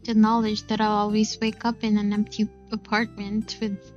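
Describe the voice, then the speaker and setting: A woman reading a visual novel aloud in an expressive, unhurried first-person narration. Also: medium pitch, highs cut off. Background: game music.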